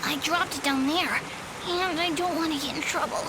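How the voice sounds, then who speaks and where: A high-pitched, innocent little boy acted by a woman for a video game. He sounds timid and polite, with clear game sounds behind him. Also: steady tone, normal speed, noisy background, close to an everyday voice. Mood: anxious. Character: frightened young rabbit boy, innocent and polite.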